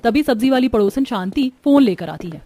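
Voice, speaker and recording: Higher-pitched woman narrating a story. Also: expressive, fairly quick, warm tone, faint music behind. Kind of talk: scripted Hindi cartoon story narration.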